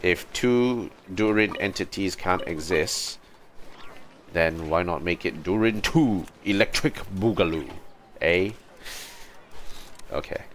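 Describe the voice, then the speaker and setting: A man giving casual livestream commentary as he plays a game, musing aloud in a halting, hesitant way. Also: low voice, fairly bright tone, slight background noise. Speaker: livestream host playing a game. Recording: game music behind the voice. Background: video game music.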